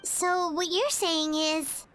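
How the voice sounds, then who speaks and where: A woman's voice: very high voice, steady tone, slow pace, fairly bright tone, slight background noise, heavily acted. A woman voicing a small, chirpy childlike fairy companion in a video game, who talks about herself in the third person. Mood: pondering.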